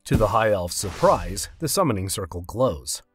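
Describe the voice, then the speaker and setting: A man's voice: fairly low pitch, very animated, moderate pace, faint music behind. A man narrating a scripted fantasy story.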